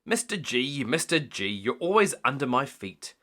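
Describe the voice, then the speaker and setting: Man as older storybook wife, fussing, teasing, bright tone, animated pitch. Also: moderate pace. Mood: complaining. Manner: chiding, solicitous.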